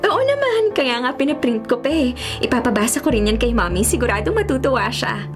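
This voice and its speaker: A woman's voice: a little high, sing-song, brisk. A woman playing a headstrong, lovelorn young mayor's daughter in a Filipino radio drama, earnest and emotive. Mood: pleased. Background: music.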